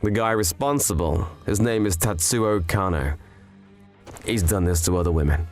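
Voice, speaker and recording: An actor playing men in a grim manga revenge drama. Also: male voice, low-pitched, normal speed, close to an everyday voice. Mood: calm, grave. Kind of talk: dubbed manga drama dialogue. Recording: music behind the voice.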